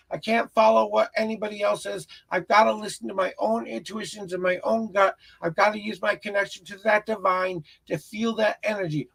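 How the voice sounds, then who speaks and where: A man hosting a live tarot reading in a notably high voice with a gravelly, crackly edge. His tone is husky and raspy. He talks in an earnest, encouraging, meandering way, offering casual spiritual guidance to a viewer. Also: a bit bright. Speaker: tarot reader, live stream host.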